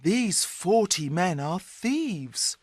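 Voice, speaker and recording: A woman reads as a cautious, kindly fairy-tale man. The delivery is earnest and very slow, with lively rises in pitch. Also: no room sound, studio quality, exaggerated voice. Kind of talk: storybook character voice.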